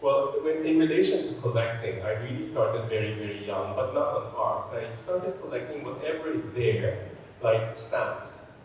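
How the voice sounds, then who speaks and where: A collector from the Philippines talks to students in a big gallery. He hesitates and restarts his sentences. He stands off-mic, so the long hall reverb and faint ambience come through clearly. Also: medium pitch, recorded from a distance, slight background noise. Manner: halting, with restarts and "uh". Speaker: art collector, guest speaker. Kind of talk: personal talk to students. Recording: echoey hall, speaker off-mic.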